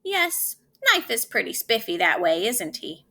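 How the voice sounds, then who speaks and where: A woman voicing a gentle, reassuring mother spoon in a children's storybook, soothing and agreeable. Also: mid-range voice, sing-song, measured pace, vocal fry, heavily acted. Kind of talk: children's storybook read-aloud.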